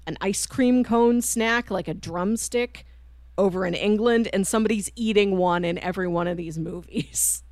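A woman's voice: mid-range voice, normal speed, darkish tone, no echo. Chatty woman podcaster, dry close sound. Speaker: podcast co-host. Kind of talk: casual chat, pitching films to co-host.

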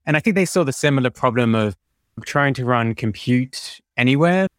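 A man's voice: mid-range voice, animated, moderate pace, dry recording, studio quality. A man with a British accent, explaining technical work informally as a podcast guest. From United Kingdom. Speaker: podcast guest, DevOps engineer.

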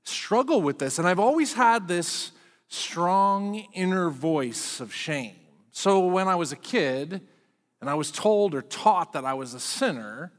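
High-voiced male pastor in reverberant hall. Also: a bit bright. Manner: candid and self-deprecating, conversational. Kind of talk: sermon.

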